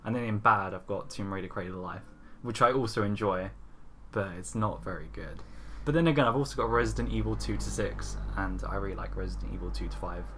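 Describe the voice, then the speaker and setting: A man's voice: sits low, a little fast, fairly bright tone, a little hiss or hum. A man hosting a podcast, chatting casually about films and games in an informal, sweary way. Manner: informal, frequently chuckling, sweary. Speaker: podcast host. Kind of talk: casual film and game chat.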